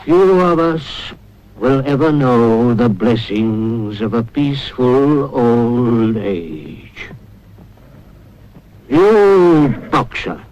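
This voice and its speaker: Slow, solemn old boar orator. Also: male voice, on the high side. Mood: weary, solemn, then grave, bitter. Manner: grave, declamatory, deliberate dramatic pauses. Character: aged dying boar, solemn revolutionary farm orator. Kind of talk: 1950s animated film oratory. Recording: noisy 1950s film soundtrack.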